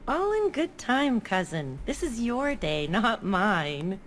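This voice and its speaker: A woman's voice: fairly high pitch, sing-song, slow pace, slight background noise. An actress playing a cheery, teasing young female elf cousin in a video game. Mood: playful.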